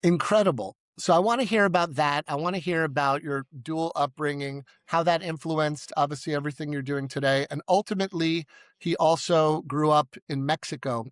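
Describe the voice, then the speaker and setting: A man's voice: a little high, a little fast, dry recording. A man hosting a podcast, introducing his guest and asking questions with an upbeat, emphatic delivery. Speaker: podcast host.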